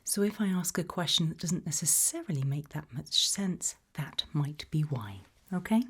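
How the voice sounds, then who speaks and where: Female, British, low-pitched and breathy. Gentle, whispered ASMR interviewer, calm and reassuring. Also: normal speed. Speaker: ASMR roleplay host playing an abduction investigator.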